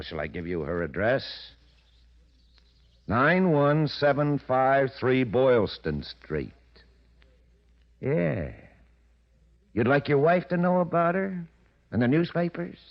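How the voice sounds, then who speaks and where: Cocky blackmailer in an old 40s radio play, voice swings up and down a lot, dull old recording. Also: male voice, mid-range voice, sing-song, slow pace, gravelly, pauses often, heavily acted. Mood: menacing. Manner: insinuating, self-assured. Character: scheming, ambitious, smug male blackmailer in a crime drama. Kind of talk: 1940s radio drama acting. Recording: muffled vintage radio recording.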